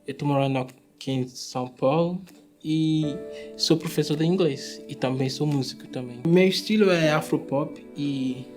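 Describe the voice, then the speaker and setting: A man of twenty-six with a Nigerian accent, a non-native speaker, telling his story in a documentary testimonial and pausing to find words. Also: on the high side, a little mellow, soft background music. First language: not Portuguese. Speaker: refugee, English teacher and musician.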